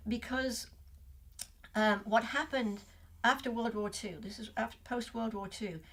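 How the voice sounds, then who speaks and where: Older woman, Liverpool accent, reflective and chatty, higher voice. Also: fairly even intonation, measured pace. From Liverpool, England. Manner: reflective, chatty, many restarts. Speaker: guest. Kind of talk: casual interview.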